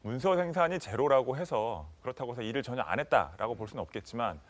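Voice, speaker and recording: A man speaks as a studio panel commentator. His voice is mid-pitched, and his delivery is measured and analytical, winding through long sentences with careful qualifications. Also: lively intonation.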